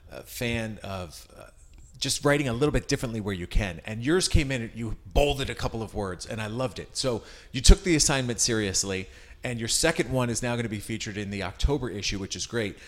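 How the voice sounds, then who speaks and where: A man's voice: medium pitch, brisk. A middle-aged man with an American accent, interviewing a guest in a chatty, informal and complimentary way. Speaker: interviewer, host. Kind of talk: casual conversational interview.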